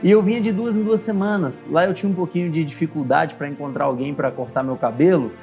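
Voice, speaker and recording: A man's voice: fairly high pitch, fast, darkish tone. A man in his thirties, a barbershop owner, telling his personal story in an earnest, reflective way. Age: 30s. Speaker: barbershop owner and course creator. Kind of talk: scripted personal story narration. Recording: faint music underneath.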